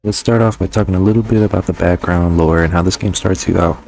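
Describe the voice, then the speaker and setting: Low-voiced man in casual game review. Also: fairly even intonation, fast, vocal fry, darkish tone, faint music behind. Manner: slangy, informal, conversational. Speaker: host of a game review channel.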